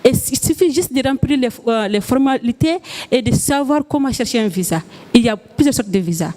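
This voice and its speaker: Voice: medium pitch, expressive, a little fast, warm tone. A speaker explaining practical matters in a plain, hesitant way. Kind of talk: informative explanation.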